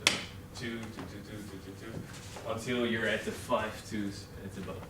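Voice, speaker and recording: Young Dutch man presenting live, halting and filler-heavy; recorded from far back in a roomy, noisy training room. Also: fairly low pitch, level tone. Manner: hesitant, many fillers and restarts. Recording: roomy, distant camera audio with noise.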